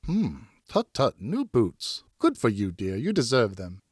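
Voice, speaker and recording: A man's voice: medium pitch, huge pitch swings, a little slow, slightly rough, dry recording, studio quality, exaggerated voice. A man voicing a genteel married French noblewoman in an audiobook, composed, prim, airy and lightly dismissive. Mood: airy approval. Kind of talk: audiobook character dialogue.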